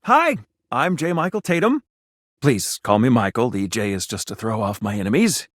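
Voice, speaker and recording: A man's voice: medium pitch, sing-song, normal speed, no echo, clean studio sound. A man voicing a pretentious intellectual writer in a video game, speaking with pompous, ornate diction. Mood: arch, playful. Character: pretentious, flowery, intellectual male writer and dandy. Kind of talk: video game character dialogue.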